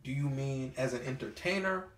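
A man's voice: medium pitch, normal speed, distant mic. A young man chatting in a recording studio, easygoing and curious, asking follow-up questions. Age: young adult. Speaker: artist in a studio session. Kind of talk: casual studio banter. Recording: roomy, from off-mic.

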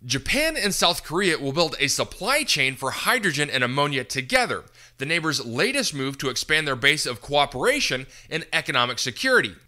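A man with a very bright, punchy voice, pitched fairly high and moving in lively swings. He presents scripted news commentary as a podcast host, with polished, emphatic delivery. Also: a little fast, hardly any pauses.

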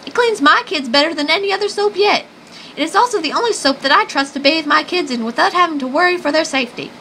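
A wholesome farm mom played by a woman in an old radio advert parody. Her voice is extremely high and bright, sugary and earnest, with faint noise behind. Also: animated, fast talker, heavily acted. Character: wholesome farm mother of seven giving testimonial.

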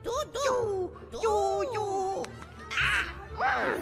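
A woman's voice: very high-pitched, lively intonation, very slow, loud music behind the voice, heavily acted. A woman voicing a small, dim-witted, quarrelsome gully dwarf who speaks in broken, repetitive childlike phrases. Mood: squabbling, excited. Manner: broken childlike grammar, repeating words.

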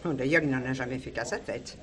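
An actress playing a middle-aged merchant's wife in a French radio crime drama, sharp-tongued, gossipy and disdainful. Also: female voice, very deep voice, quick pace. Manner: gossipy, disdainful, bossy with staff.

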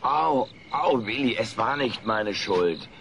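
A man's voice: on the low side, expressive, takes it slowly, background noise. A man voicing a brash, whiny alien troublemaker in a melodramatic comedy radio play. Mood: melodramatic pleading. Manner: melodramatic, mock-tragic.

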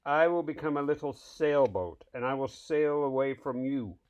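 A man's voice: mid-range voice, normal speed, pitched above the performer's own voice, natural voice. A man voicing a small, restless young male bunny with a bold, declaring storybook delivery. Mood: defiant, playful.

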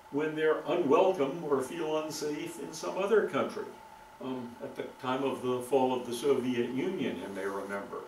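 An older man giving a relaxed, thoughtful lecture with frequent ums, recorded by a far camera in a meeting room. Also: mid-range voice, reverberant, slight background noise. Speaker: guest lecturer. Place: meeting room with green walls.

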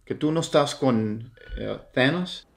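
A man, teaching English phrases with lively hand gestures in a dim indoor room. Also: medium pitch, moderate pace, vocal fry. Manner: animated, gestures with his hands. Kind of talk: language lesson, repeating phrases slowly.

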